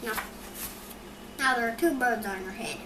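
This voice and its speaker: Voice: medium pitch, moderate pace, lightly acted. A child voicing a matter-of-fact pig friend from a picture book. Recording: echoey, noisy room recording.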